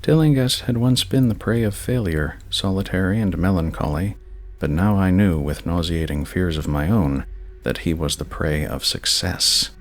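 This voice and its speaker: Very deep, gravelly male horror narrator. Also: moderate pace. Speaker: narrator, channel host. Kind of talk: slightly dramatic horror story reading.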